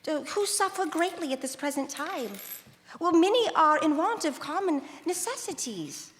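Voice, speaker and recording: An actress playing a polite, hesitant, flustered charity collector in live radio theater, formal and courteous. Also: female voice, very high voice, animated, normal speed, fairly bright tone. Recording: reverberant hall, faint noise.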